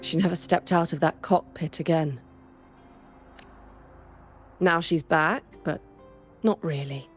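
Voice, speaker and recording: A woman's voice: low voice, slow, long pauses, faint music behind. A woman playing a stern, dry, world-weary former teacher in a game, speaking in a composed, matter-of-fact way. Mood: wry, wistful. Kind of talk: scripted game dialogue.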